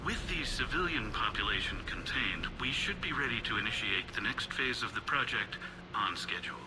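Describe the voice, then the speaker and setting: A man's voice: lowish voice, animated, moderate pace, noisy background, natural voice. A man voicing a detached, formal scientist dictating a sinister project log in a video game, clinical and emotionless. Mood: cold, clinical.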